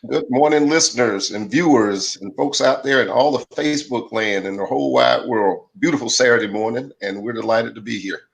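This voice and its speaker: A man's voice: medium pitch, fairly quick, gravelly, hardly any pauses, low-quality recording. A man co-hosting a live show, speaking enthusiastically and rambling with many uhs. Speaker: co-host.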